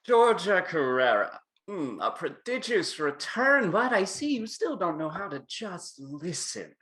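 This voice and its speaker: Actor doing a fussy sardonic dance coach, theatrical, pitch goes all over the place. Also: big pitch swings, measured pace, fairly bright tone. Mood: sardonic, haughty. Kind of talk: screenplay table read acting.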